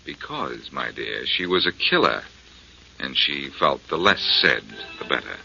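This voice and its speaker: An actor playing a cool, crafty amateur detective in a 1940s radio drama. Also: male voice, extremely low pitch, big pitch swings, unhurried, creaky, sharp tone, soft background music, exaggerated voice. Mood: easygoing. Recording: dull, noisy vintage radio recording.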